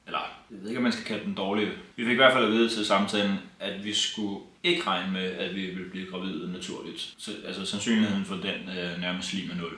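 A man's voice: deep voice, level tone, hardly any pauses, pushed and bright. A young man speaking openly and plainly to camera in a casual vlog, seated beside his partner. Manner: plain, open, a bit wry. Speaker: vlogger, partner. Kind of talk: casual personal talk to camera. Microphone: camera on tripod, seated. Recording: roomy echo.

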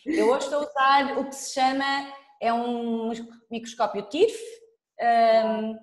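Echoey Portuguese woman on a video call. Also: a little high. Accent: European Portuguese. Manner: relaxed, thoughtful, with frequent pauses and fillers. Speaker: scientist guest. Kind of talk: casual interview over video call. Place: lab room with dark wall, indoors. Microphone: laptop webcam on video call. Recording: roomy echo on a video call.

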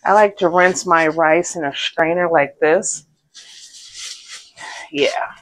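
A woman in her thirties chatting casually as she cooks in her small home kitchen, relaxed and rambling, wearing a lapel mic. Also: on the low side, slow pace, low-quality recording. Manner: relaxed, rambling, laughs to herself. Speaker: vlogger. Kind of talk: casual cook-along chat. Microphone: clip-on lapel mic.

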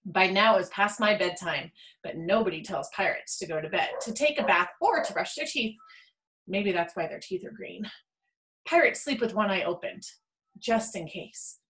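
Lady reading a picture book out loud for kids, super animated, pitch all over. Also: moderate pace, low-quality recording. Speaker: storybook reader. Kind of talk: expressive children's picture book read-aloud.

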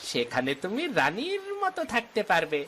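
A swaggering cartoon villain, pushy and leering. His voice is high for a man and forceful, swooping up and down as he wheedles and threatens. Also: wildly swooping pitch, moderate pace, slight background noise, heavily acted. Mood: leering, wheedling. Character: menacing lecherous village bully, smooth-talking and pushy. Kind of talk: Bengali cartoon villain acting.

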